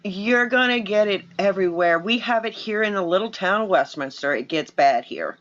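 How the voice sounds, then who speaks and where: A woman from New York hosts a casual livestream and tells long, rambling stories straight to her viewers. Her voice is bright and forward. The audio is a little muffled and lo-fi. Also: mid-range voice, expressive, moderate pace. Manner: chatty, rambling storyteller who addresses viewers. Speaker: livestream host. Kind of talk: casual chat.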